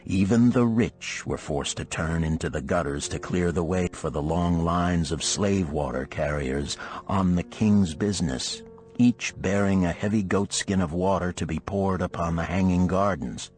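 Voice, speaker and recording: A man reading a storybook narration in a measured, formal storytelling manner. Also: sits low, darkish tone. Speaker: audiobook narrator.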